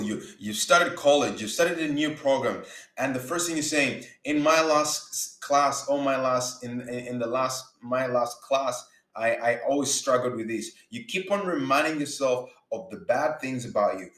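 Earnest guy from uganda doing a motivational talk, middle pitch, echoey room. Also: normal speed, a bit bright. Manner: earnest, repeats words when emphasizing. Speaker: faith and business speaker. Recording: roomy echo.

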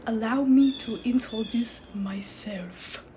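A woman voicing a small, boastful, theatrical male fantasy creature with an exaggerated French accent, declaiming pompously and excitedly in a storybook reading. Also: fairly high pitch, takes it slowly, a little hiss or hum, rough recording. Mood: grandiose, boastful. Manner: shrieking, bouncing, pompous declamation.

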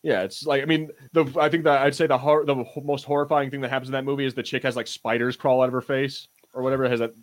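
A man co-hosting a podcast, chatting casually in a halting, rambling way with repeated false starts. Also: mid-range voice, little pitch movement. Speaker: podcast host. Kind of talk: casual pop-culture banter.

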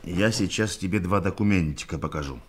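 An actor playing a calm, dry-humoured police investigator, unhurried and understated, in a radio drama. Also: male voice, deep voice, close to an everyday voice. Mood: grave, patient. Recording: noisy old radio play recording.

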